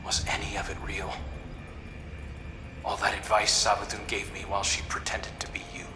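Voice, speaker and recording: A man's voice: on the low side, completely flat delivery, moderate pace, natural voice. A man playing a wary, wounded video game character haunted by past manipulation, speaking bluntly. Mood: regretful, shaken. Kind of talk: video game dramatic dialogue. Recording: echoey game voice under music.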